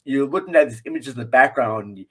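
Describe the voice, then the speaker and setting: A man's voice: mid-range voice, brisk, husky. A man appearing as a podcast guest, chatting casually about films. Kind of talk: casual film chat.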